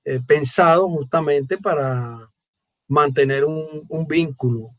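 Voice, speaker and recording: A Venezuelan man hosting a conversational online interview, speaking Spanish in a deliberate, halting way with repeated words. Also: on the high side, no echo, clean studio sound. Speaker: host, mathematics educator. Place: remote online conversation from Brazil.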